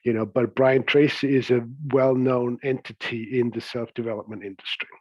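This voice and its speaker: A middle-aged man from Switzerland, a coach and podcast guest, talking in a relaxed, chatty way with easy laughs. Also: mid-range voice, moderate pace, no room sound, lo-fi sound. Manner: chatty, laughs easily, many "you know"s.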